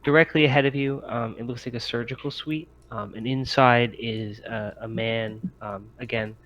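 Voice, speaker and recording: A man narrating a tabletop roleplaying game as game master, halting and deliberate. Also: mid-range voice, fairly even intonation, fairly bright tone, muffled recording.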